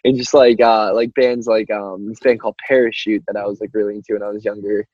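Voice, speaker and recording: Low-voiced young man, casual and rambling. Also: flat delivery, quick pace, darkish tone, breathy edge, no echo, highs cut off. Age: young adult. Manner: rambling, informal, peppered with "like". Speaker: musician being interviewed. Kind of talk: casual interview chat.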